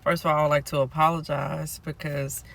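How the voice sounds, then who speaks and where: Nasal, bright-voiced man reading tarot. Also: fairly high pitch, little pitch movement, brisk, gravelly. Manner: chatty, keeps checking in with "okay?". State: nasal, getting over a cold. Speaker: tarot reader. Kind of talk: collective tarot reading to camera.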